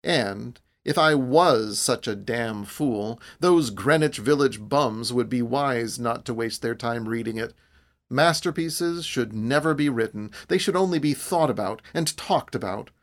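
A man's voice: medium pitch, lively intonation, a little slow, close to an everyday voice. A man voicing an idle dreamer of about thirty in a storybook, wry, laid-back and world-weary. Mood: wry, resigned.

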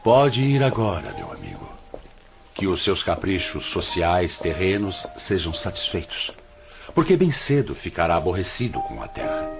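An actor playing a stern spirit brother in authority in a radio drama, speaking in a grave, formal, admonishing way. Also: male voice, low-pitched, wildly swooping pitch, normal speed, gravelly, music in the background, heavily acted. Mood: grave resignation.

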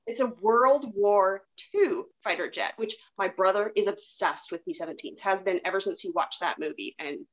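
A woman in a casual podcast chat, talking in an animated, chatty way. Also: mid-range voice, normal speed, hardly any pauses, poor audio. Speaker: podcast participant discussing radio dramas.

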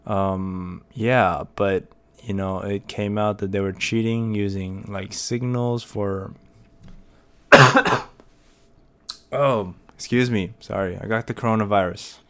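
A young American man talks through his thoughts on his own podcast, often hesitating and starting over. His voice sits a little low and sounds smooth and resonant. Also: fairly even intonation, takes it slowly, pauses often. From United States. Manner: rambling, hesitant, many fillers and restarts. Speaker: podcast host. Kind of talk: casual solo commentary.

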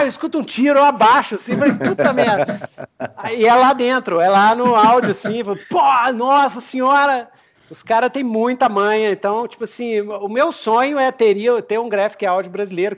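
A man hosting a casual podcast interview, talking in an informal, slangy and expressive way. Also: high-pitched. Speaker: podcast host interviewing guests.

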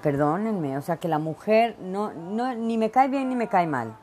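A woman's voice: medium pitch, sing-song, measured pace, a little mellow, a little hiss or hum. A middle-aged woman from Veracruz, hosting her own show and giving blunt, emphatic opinions in a solo monologue full of fillers. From Mexico (Veracruz). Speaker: podcast host.

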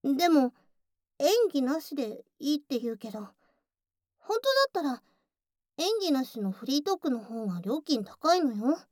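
A woman's voice: sits high, lively intonation, moderate pace, a little mellow, no room sound, clean studio sound. A woman voicing a bossy, teasing tsundere childhood friend working as a cafe waitress in an intimate ASMR voice drama, often slipping into whispers. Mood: flustered, huffy. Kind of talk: Japanese ASMR situation voice drama.

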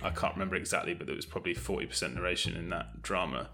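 A man's voice: low voice, very fast, creaky, bright, forceful tone. A man from Bristol speaking as an interview guest, thoughtful and hesitant, often qualifying himself. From Bristol, England. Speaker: guest, audio drama director. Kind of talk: conversational interview talk.

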